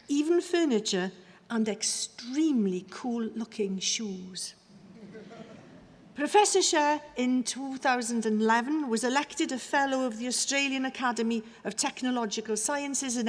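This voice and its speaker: A woman with a Welsh accent giving a formal, stately ceremonial speech that presents an honorary graduate, moving between English and Welsh. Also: high voice. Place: large ceremony hall. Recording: echoey large hall.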